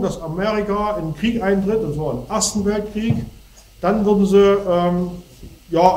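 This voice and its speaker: A man's voice: high voice, warm tone, a little hiss or hum. A middle-aged man with a cold, lecturing to an audience while standing and gesturing. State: has a cold. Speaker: speaker. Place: darkened hall before a projection screen. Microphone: far camera. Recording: echoey hall from far off.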